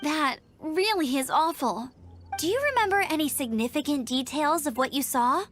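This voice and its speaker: A woman's voice: high voice, expressive, normal speed, a bit bright, faint music behind. A woman playing a confident, eager young knight scout in an anime video game, speaking in an earnest, upbeat way. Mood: concerned.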